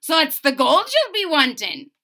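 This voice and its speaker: A woman voicing a tiny, feisty and sly male leprechaun in a storybook reading, with a shrill, excitable voice. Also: normal speed, heavily acted. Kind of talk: storybook read-aloud.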